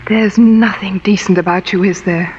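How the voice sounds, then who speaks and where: A woman acting a hurt, sharp-tongued young daughter in a 1940s radio drama, earnest and biting. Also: medium pitch, steady tone, normal speed, slightly rough, lightly acted. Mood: bitter, scornful. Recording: muffled old radio broadcast with music.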